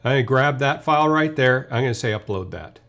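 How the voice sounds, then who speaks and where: A man in his fifties with a mid-pitched voice presents a technical demonstration. He speaks conversationally and informally, walking the listener through each step as a webinar presenter would. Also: animated, fast talker, a bit bright. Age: 50s. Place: indoor video studio.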